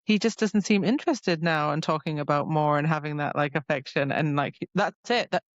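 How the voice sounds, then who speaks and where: A woman's voice: low-pitched, a little fast, dry recording, dull audio. A woman co-hosting a podcast in casual book chat, informal and exclamatory, often quoting reactions. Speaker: podcast co-host.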